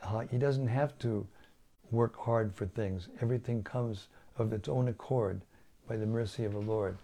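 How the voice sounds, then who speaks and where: An older man, a spiritual teacher, giving a slow devotional talk on scripture and often repeating or restarting his words. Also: on the low side, dark tone.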